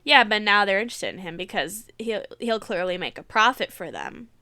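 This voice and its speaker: A woman's voice: mid-range voice, big pitch swings, brisk, pushed and bright, faint music behind. A woman hosting a reaction video in a casual, blunt, chatty way, congested with a cold.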